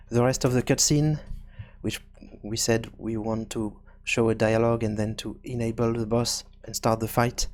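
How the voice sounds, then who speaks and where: A man hosting a programming tutorial, thinking aloud in a halting, hesitant way as he works through each step. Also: medium pitch, darkish tone. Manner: hesitant, halting, working things out as he speaks. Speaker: video game programming tutorial host.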